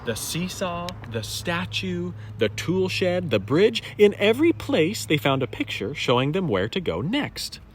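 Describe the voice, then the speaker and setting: Very animated man reading a children's story. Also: high-pitched, unhurried, few pauses, a little hiss or hum. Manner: dramatic, emphatic storytelling. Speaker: storybook reader. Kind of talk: expressive children's story narration.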